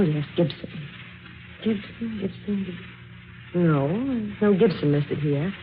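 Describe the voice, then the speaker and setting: Actress doing eager polite young gal in an old radio play, bit hesitant, dull hissy sound. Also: female voice, lowish voice, close to an everyday voice. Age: young adult. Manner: earnest, talks thoughts aloud, hesitant. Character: eager, polite young woman job seeker, nervous but determined. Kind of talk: old-time radio drama acting. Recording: dull, noisy vintage radio recording.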